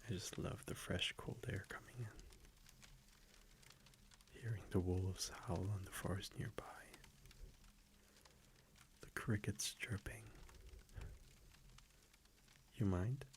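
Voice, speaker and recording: A hushed, low male vampire boyfriend, played by a man in a romantic ASMR audio roleplay. He speaks very slowly and breathily, half whispering, with a tender, teasing intimacy meant for someone cuddled close at night. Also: steady tone. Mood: cozy, content. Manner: intimate, soft-spoken, slow with lingering pauses.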